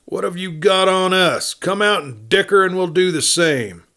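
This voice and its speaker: A man's voice: high-pitched, normal speed, sharp tone, pitched above the performer's own voice. A man voicing a big, bold, defiant gunman who taunts and blusters in cowboy talk, from a western storybook. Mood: scornful shouting.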